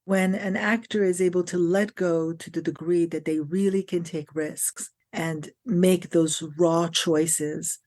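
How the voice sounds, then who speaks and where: A middle-aged woman, her voice sitting a little low. She talks in an articulate, emphatic way, stacking phrase upon phrase as she explains her craft on a podcast. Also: steady tone, takes it slowly, few pauses, a little mellow. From France. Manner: articulate and emphatic, builds long lists of phrases. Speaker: guest, acting teacher and film director. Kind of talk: podcast interview.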